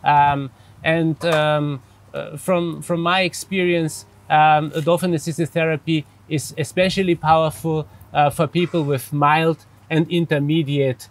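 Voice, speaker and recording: A man's voice: a little high, little pitch movement, a little slow. A man from Austria, a native German speaker with an Austrian accent, gives explanatory interview answers hesitantly, searching carefully for words. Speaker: interview guest, psychologist and dolphin therapist.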